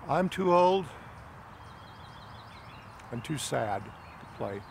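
A man's voice: on the high side, big pitch swings, vocal fry, slight background noise, heavily acted. A man playing a frail, sad old man who stammers and halts as he speaks. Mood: weary. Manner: halting, stammering.

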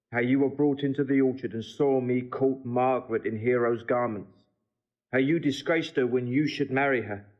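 A man's voice: mid-range voice, close to an everyday voice. An actor playing a proud, bitter villain's henchman in classical Shakespearean audio drama, on thin audio. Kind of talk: classical Shakespearean radio acting. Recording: thin, phone-like band-limited audio.

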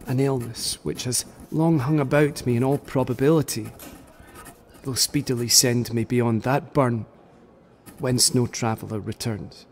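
An actor playing a dying Scottish poet, formally and earnestly reciting a letter in a radio drama. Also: male voice, mid-range voice, fairly even intonation, a little mellow, a little hiss or hum, close to an everyday voice. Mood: solemn, resigned. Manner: formal, measured.

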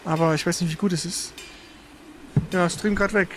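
A man's voice: high-pitched, fairly even intonation, moderate pace, a bit bright. A man streaming a video game, thinking aloud in casual, informal live commentary. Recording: faint background noise.

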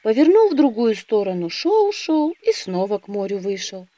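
Mellow woman narrating a fairy tale. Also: medium pitch, sing-song, moderate pace, no room sound, lo-fi sound. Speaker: storyteller.

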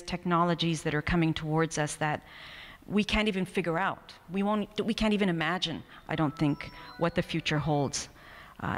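Woman, middle-aged academic keynote speaker; lowish voice, earnest and measured, echoing hall. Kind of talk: prepared academic keynote speech. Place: indoor university hall at a lectern. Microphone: microphone on a stand, close in front. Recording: reverberant hall through microphone.

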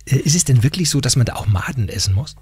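A man's voice: medium pitch, animated, quick pace, darkish tone, breathy edge, no room sound. A middle-aged man hosting a casual radio interview in a teasing, jovial manner, close on a studio microphone. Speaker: radio talk show host. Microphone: close broadcast microphone on boom arm.

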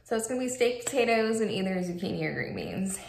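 A woman's voice: medium pitch, big pitch swings, quick pace, gravelly, a little mellow, reverberant. A woman in her thirties chatting casually to camera in her home vlog, with many "like"s and "um"s. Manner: chatty, filler-heavy, lots of "like" and "um". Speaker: vlogger. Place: kitchen and living room of an apartment.

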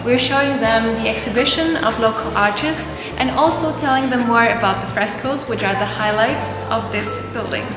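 A woman's voice: a little high, moderate pace, hardly any pauses. A woman from Germany, a volunteer, showing visitors around a frescoed palace and explaining its exhibition. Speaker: volunteer guide. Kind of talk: casual video tour. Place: large frescoed palace hall, indoors. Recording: echoey hall with music.

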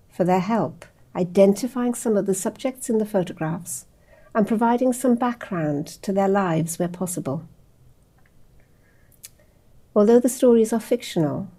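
Calm, mellow middle-aged woman presenter. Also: mid-range voice. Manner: measured, formal. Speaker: archive outreach presenter. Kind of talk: scripted introduction. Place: small room indoors. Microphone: lapel mic.

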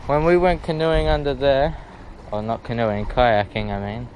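A man's voice: mid-range voice, steady tone, moderate pace, darkish tone, poor audio. Young guy vlogging outdoors in wind. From Australia. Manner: chatty, laughing, hesitant asides. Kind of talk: casual vlog narration. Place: outdoors by windy waterfront. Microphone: action camera on hand or head. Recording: wind noise, music underneath.

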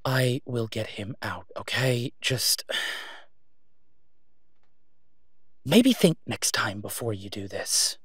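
A man's voice: fairly low pitch, lively intonation, a little slow, a bit bright, husky, dry recording, studio quality, exaggerated voice. A man playing a sardonic, exasperated but protective vigilante antihero in an audio roleplay, with a weary, clipped manner. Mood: resigned, firm. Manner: sarcastic, weary, clipped, emphatic when irritated. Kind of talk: solo audio roleplay acting, conversational.

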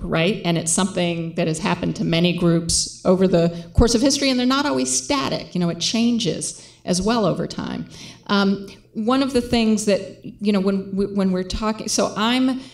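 A woman moderating a public conversation with a handheld mic, measured, with frequent fillers. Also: medium pitch. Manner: measured, reads quotes aloud, many fillers. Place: lecture hall with audience.

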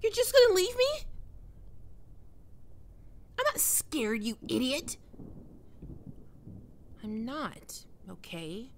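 A woman's voice: sits high, huge pitch swings, slow pace, slight background noise, full character voice. A woman playing a skittish, defensive young catgirl roommate in an ASMR roleplay, stammering and prickly to hide her fear. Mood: frightened, needy.

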